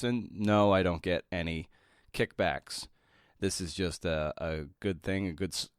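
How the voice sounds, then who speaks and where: A man's voice: low-pitched, clean tone, no room sound, studio quality. A man hosting a podcast, moving between scripted introduction and interview questions. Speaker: podcast host.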